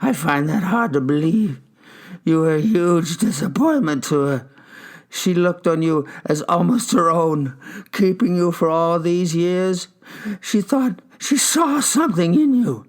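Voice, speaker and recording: A man's voice: sits high, expressive, a little slow, voice pushed up. A man voicing a frail, grieving old widower in an audiobook story, with a thin, quivering voice and an accusing, indignant manner. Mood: angry, accusing.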